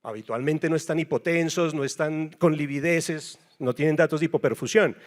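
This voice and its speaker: A man's voice: fairly high pitch, moderate pace. A man from Colombia with a Colombian accent gives a medical lecture as a nephrologist, speaking conversationally and emphatically with frequent tag questions. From Bogotá, Colombia.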